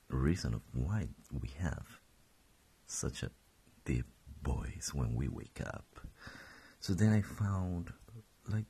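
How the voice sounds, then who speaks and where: Gravelly deep-voiced young man, just woken. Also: warm tone. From Colombia. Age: 20s. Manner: rambling, self-correcting, chuckling. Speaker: podcast host. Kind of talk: casual solo podcast.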